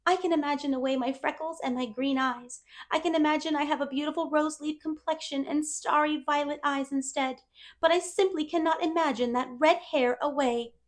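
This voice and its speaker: A woman's voice: high-pitched, steady tone, a little fast, voice pushed up, lightly acted. A woman voicing a talkative, dreamy eleven-year-old orphan girl with effusive wonder and dramatic emphasis, in a storybook reading. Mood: dramatically rueful.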